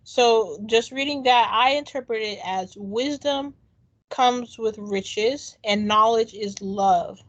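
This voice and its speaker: Earnest, reflective female co-host with a slightly high voice, muffled lo-fi recording. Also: slow. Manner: earnest, reflective, many ums. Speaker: podcast co-host. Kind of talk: casual chat.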